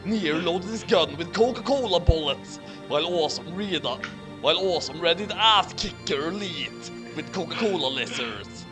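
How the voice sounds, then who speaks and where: Animated high-voiced man reading hammily. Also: normal speed. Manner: animated, hammy when reading aloud. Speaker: co-host of a fan fiction reading. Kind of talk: dramatic comic reading with casual asides. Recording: music clearly playing behind the voice.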